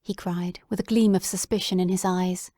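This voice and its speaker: A woman's voice: fairly low pitch, steady tone, moderate pace, mellow, dry recording, studio quality. A woman reading scripted story narration as the narrator of an audiobook.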